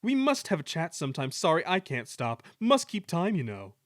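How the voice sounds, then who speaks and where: A man's voice: fairly high pitch, wildly swooping pitch, normal speed, dry recording, clean studio sound, pitched above the performer's own voice. A man voicing a conceited male steam engine in a children's story, with pompous, sulky complaining. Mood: haughty.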